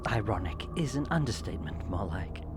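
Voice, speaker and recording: A performer voicing a weary, sarcastic, defiant damned soul in a dark fantasy audio drama. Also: mid-range voice, animated, fairly quick, faint music behind, natural voice. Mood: exasperated. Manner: sardonic, dramatic, exasperated.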